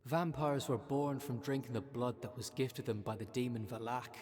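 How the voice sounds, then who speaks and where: A man playing a solemn, zealous cult leader in an audio roleplay, speaking with measured, grandiose earnestness. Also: medium pitch, steady tone, close to an everyday voice. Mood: grave, indignant. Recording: long reverb of a large hall.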